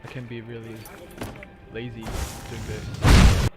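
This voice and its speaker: A man from Korea with a Korean accent, casually talking through a video game glitch, halting and searching for words in English. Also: mid-range voice, completely flat delivery. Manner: halting, with fillers and restarts, laughs now and then. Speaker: gamer recording a tutorial. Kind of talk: casual gameplay commentary. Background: game music and sounds.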